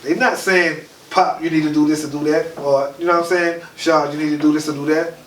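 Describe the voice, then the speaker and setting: A man's voice: on the high side, steady tone, fairly quick, fairly bright tone. A man with a stuffed-up nose, co-hosting a podcast in a laid-back, chatty way. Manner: laid-back, chatty, frequent "you feel what I'm saying". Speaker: podcast co-host. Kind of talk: casual podcast chat. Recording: echoey room, mic at a distance, faint noise.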